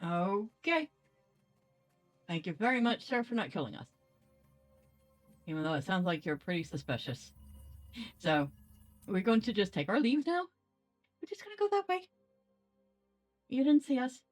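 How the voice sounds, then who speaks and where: A woman's voice: medium pitch, sing-song, normal speed, long pauses. A woman hosting a gaming channel with casual let's play commentary, playful and talking to herself. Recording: game music behind the voice.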